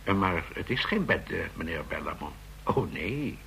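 A man's voice: sits low, big pitch swings, measured pace, creaky, sharp tone, a little hiss or hum, exaggerated voice. An actor playing an insistent male counterfeiting expert explaining his case to officers in a radio drama.

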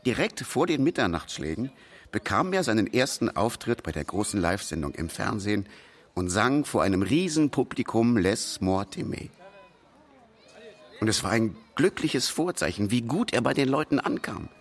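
An admiring man of the music world reminisces in a radio play. His voice is fairly low, and he tells things fondly and expressively. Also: very animated, moderate pace. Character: admiring male songwriter or associate reminiscing fondly. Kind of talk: radio drama monologue.

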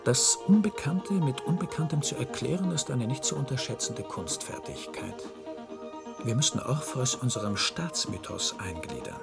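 A man's voice: mid-range voice, big pitch swings, normal speed, gravelly, loud music behind the voice. A man playing a smug, cultivated, scheming official in a science-fiction radio play, speaking precisely and condescendingly. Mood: smug, calculating.

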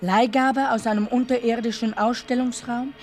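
A woman performing a solemn narrator in a radio drama, speaking in a deliberate, measured way with weighted pauses. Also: on the high side, fairly even intonation, darkish tone, lightly acted. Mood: solemn, probing. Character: solemn female narrator pondering a violent, dreamlike image. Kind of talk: slow literary monologue in a radio drama.

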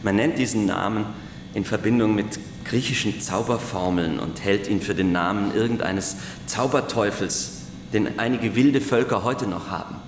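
An actor playing a learned classics teacher, lecturing in a formal, didactic way. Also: male voice, on the low side, moderate pace, natural voice. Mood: scholarly. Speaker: schoolteacher. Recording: echoey room, faint noise.

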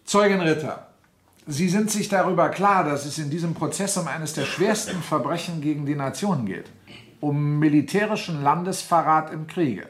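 A sardonic general presiding over a military court acted by a man, with a fairly high male voice. He speaks formally and commandingly, in stern radio drama style. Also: animated, normal speed, lightly acted. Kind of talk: German radio play acting.

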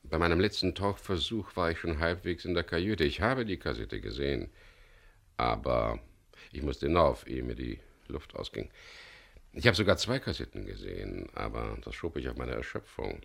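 An actor playing a composed, courteous leader of a risky venture in a radio play, explaining things in a measured way. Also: male voice, very deep voice, lively intonation, gravelly, a bit bright, full character voice. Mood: grave. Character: composed man, leader of a diamond salvage venture. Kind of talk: 1970s German radio play acting.

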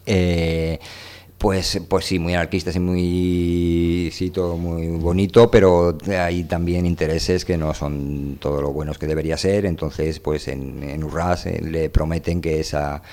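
A man hosting a radio show, talking casually about books in a halting, informal, rambling way. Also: deep voice, level tone, darkish tone. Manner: halting, rambling, informal, trailing into filler tags. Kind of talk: casual book discussion.

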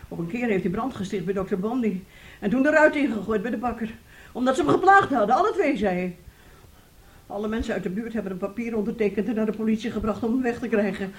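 High-voiced, troubled motherly aunt played by an actress. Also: animated, quick pace, natural voice. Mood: sorrowful recollection. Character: troubled, soothing aunt and mother of a disturbed boy. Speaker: radio drama actress. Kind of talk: Dutch radio play acting.

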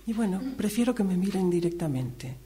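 A woman's voice: medium pitch, expressive, brisk, mellow. A middle-aged woman with an Argentine accent chatting casually face to face in a workshop exercise. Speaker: radio drama workshop participant. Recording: humming, noisy amateur recording.

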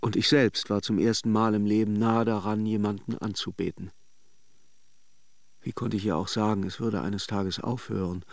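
A male actor as a melancholic lover in a literary radio drama, reflective and intimate. His low voice has a gravelly creak. He speaks in measured, introspective phrases, tender yet detached. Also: flat delivery, no echo, close to an everyday voice. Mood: reflective, wistful. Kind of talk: literary radio drama, introspective first-person narration and dialogue.

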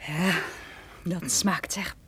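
Radio-drama female investigator: slightly low voice, poised, knowing, quietly seductive. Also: drawn-out words, slight background noise. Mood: flirtatious, knowing. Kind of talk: Dutch radio drama acting.

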